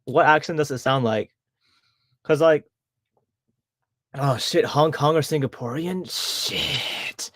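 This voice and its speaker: A man's voice: on the high side, slow pace, long pauses, fairly bright tone, no room sound. Young guy on stream rambling thru chat msgs, tongue sits kinda far back, dry room. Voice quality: tongue held back from an underbite. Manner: rambling, self-correcting, laughs at himself. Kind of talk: casual chat reading live chat.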